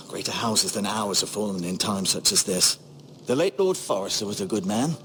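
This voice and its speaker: A man playing an older, learned healer in a fantasy video game, speaking in a measured, formal, musing way. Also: lowish voice, lively intonation, sharp tone, slight background noise. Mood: somber, musing. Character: older learned castle maester, grave, opinionated, gently bedside. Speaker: maester healer. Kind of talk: video game drama acting.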